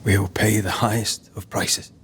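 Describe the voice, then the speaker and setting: An actor playing a weary, resolute ex-soldier ghost-hunter in a video game cutscene, grave and terse. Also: male voice, fairly low pitch, flat delivery, measured pace, a bit bright, a little hiss or hum. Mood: troubled, resigned.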